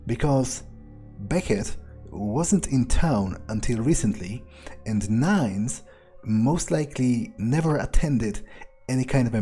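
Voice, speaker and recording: A man giving in-character game commentary, musing aloud in a halting, sardonic and profane way. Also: medium pitch, mellow, slightly rough. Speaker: gamer playing in character.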